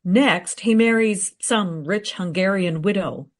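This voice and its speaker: An actress playing a confident, eager researcher in a radio play, recounting history in a teasing, matter-of-fact way. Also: female voice, medium pitch, slow pace, close to an everyday voice. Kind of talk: radio play dialogue, storytelling.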